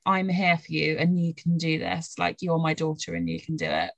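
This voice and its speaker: Gravelly-voiced woman podcast host. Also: sits low, level tone, normal speed, no room sound, dull audio. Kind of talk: casual chat.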